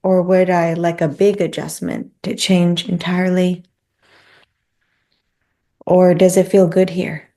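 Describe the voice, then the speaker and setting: A woman guides a meditation in a gentle, soothing way. Her voice sits a little low and is dark and relaxed, with noticeable creak. She takes her time with the phrases. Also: slow, pauses often.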